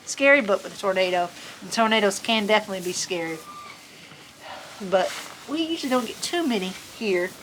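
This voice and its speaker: A woman reading a picture book aloud to children in an expressive, folksy, chatty storytime manner. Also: mid-range voice, brisk, a little hiss or hum, rough recording. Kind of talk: animated children's story reading with casual asides. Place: on a farm among sheep.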